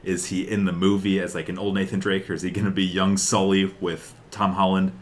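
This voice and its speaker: A man's voice: low-pitched, level tone, brisk, a little hiss or hum. A man co-hosting a podcast, chatting casually and enthusiastically about games and film news, with strong opinions. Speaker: podcast co-host.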